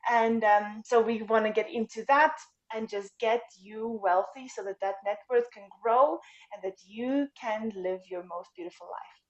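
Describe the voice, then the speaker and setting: A woman's voice: a little high, moderate pace. A woman from South Africa with a South African accent, introducing herself upbeat as an event speaker on a video call. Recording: dull lo-fi call audio.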